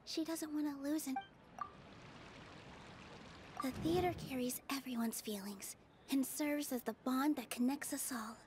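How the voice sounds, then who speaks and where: High-voiced gentle young heroine. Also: female voice, unhurried, pauses often, background noise. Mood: thoughtful. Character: gentle, earnest, determined young female theater dancer. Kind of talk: video game character acting.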